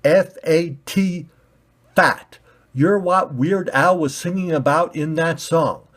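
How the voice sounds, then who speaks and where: A man's voice: fairly high pitch, takes it slowly, fairly bright tone, pitched above the performer's own voice. A man voicing a smug, rude, self-righteous storybook red ball, with a condescending, emphatic delivery. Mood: taunting.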